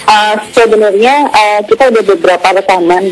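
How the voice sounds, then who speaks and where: A polite young woman from Surabaya answers a radio host's questions, pausing often and filling gaps with soft ums. She speaks at a middle pitch over faint background music in a radio broadcast. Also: low-quality recording. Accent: Surabaya Javanese. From Surabaya, Indonesia. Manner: polite, hesitant with many fillers. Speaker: girl band singer guest. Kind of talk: casual radio interview.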